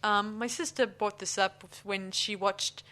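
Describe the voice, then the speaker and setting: A woman's voice: mid-range voice, steady tone, unhurried, pushed and bright. A woman hosting a film podcast, chatting casually and rambling with many restarts. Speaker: podcast host. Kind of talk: casual chat.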